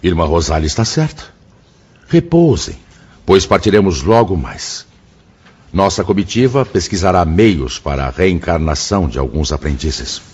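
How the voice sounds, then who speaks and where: An actor playing a wise, grave spirit mentor in a radio drama, speaking in a measured, formal, fatherly way. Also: low voice, very animated, a little mellow, husky, exaggerated voice. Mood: kindly, authoritative. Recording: slightly muffled radio drama with music.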